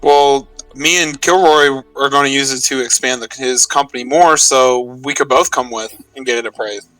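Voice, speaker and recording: A man's voice: medium pitch, normal speed, fairly bright tone, no echo, recorded from a distance, soft background music, rough recording. A man playing a tabletop roleplaying game, talking casually and somewhat hesitantly as a player.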